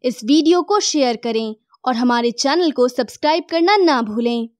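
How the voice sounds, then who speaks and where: A woman giving a scripted voice-over for an animated technical lesson, in a measured explaining tone. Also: high voice, no echo, studio quality.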